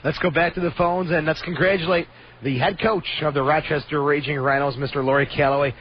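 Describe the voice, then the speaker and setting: A man's voice: on the high side, fairly even intonation, fairly quick. A man hosting a sports radio call-in show, upbeat and moving the conversation along. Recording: dull lo-fi radio audio, faint noise.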